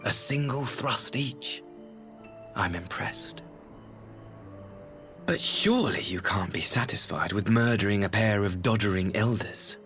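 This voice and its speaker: Slow, smug villainous brother. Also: male voice, lowish voice, expressive, fairly bright tone. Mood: mocking. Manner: theatrical, taunting, drawn-out delivery. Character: smug, cruel, mocking brother, theatrical villain. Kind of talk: video game cutscene acting. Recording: music behind the voice.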